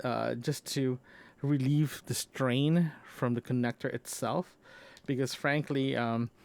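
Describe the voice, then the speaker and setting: A man hosting a casual audio diary, talking in a halting, rambling way with frequent repeats and backtracking. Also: medium pitch. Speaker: podcast host. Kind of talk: casual audio diary about personal gear.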